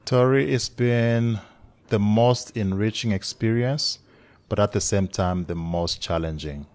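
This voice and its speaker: A man's voice: on the low side, measured pace, darkish tone, dry recording. A man in his forties from the Congo, a musician guest speaking remotely in a reflective, storytelling way. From Democratic Republic of the Congo. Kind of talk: casual remote interview. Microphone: remote call.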